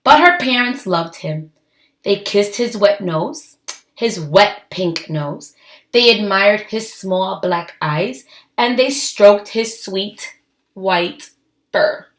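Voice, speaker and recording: A woman reading a storybook aloud to children, expressive and emphatic, adding her own asides between the lines. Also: medium pitch, slow. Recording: echoey, roomy sound.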